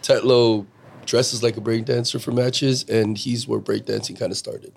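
A man hosting a casual podcast from a backyard, hoarse from cheering, brash and teasing, swearing often and laughing a lot. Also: medium pitch, level tone, moderate pace, darkish tone, a little hiss or hum. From Korean heritage. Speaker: podcast host. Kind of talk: profane casual podcast chat.

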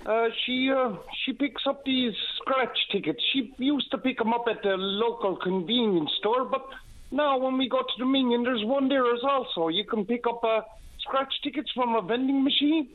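A man's voice: extremely high pitch, normal speed. Older man calling in by phone. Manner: rambling, hesitant, folksy. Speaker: caller. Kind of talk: casual call-in chat. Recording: tinny phone line.

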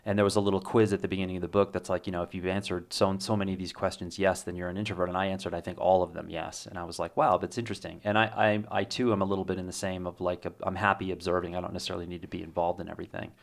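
A man with a low voice hosting an interview, talking quickly. He is chatty and enthusiastic, tripping over and restarting his sentences. He is recorded on a desk mic. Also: little pitch movement, gravelly. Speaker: podcast host, interviewer. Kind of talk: scripted intro, then casual interview.

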